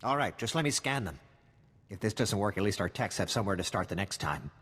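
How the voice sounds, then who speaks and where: A man's voice: on the low side, sing-song, quick pace, a bit bright, husky, big-room sound, exaggerated voice. A man voicing a weary, wry intelligence officer in a video game, briefing in a matter-of-fact, conspiratorial way. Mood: focused.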